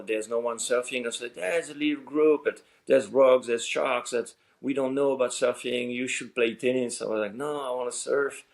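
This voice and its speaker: A middle-aged man, a surf coach guest who grew up in Senegal, telling his story in halting English with many restarts. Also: medium pitch. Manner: halting, restarts words, speaks non-native English. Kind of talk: casual storytelling interview.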